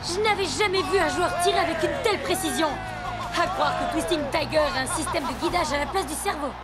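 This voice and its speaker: A high, rapid-fire cartoon sports commentator calls the match with breathless enthusiasm. A loud crowd roars behind. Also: female voice, loud music behind the voice. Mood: excited. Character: excited football match commentator.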